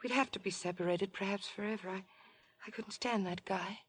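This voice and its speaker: An actress playing an anxious, devoted young fiancée in a 1950s radio drama, refined, earnest and pleading. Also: female voice, medium pitch, fairly quick, breathy edge, no echo, natural voice. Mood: distressed, tender.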